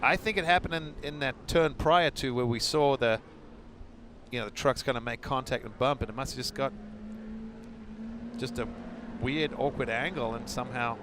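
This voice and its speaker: Male color commentator, mid-pitch voice, relaxed chatty banter, engine noise behind. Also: normal speed, pauses often. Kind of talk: live motorsport commentary. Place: outdoor race track. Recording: engine noise from outdoor track. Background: racing trucks and engines.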